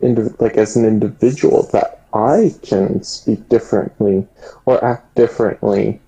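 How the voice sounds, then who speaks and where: A man's voice: lowish voice, fairly even intonation, unhurried, creaky, mellow, rough recording. A man chatting casually as a podcast co-host, phrasing his thoughts hesitantly. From Canada. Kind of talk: casual chat.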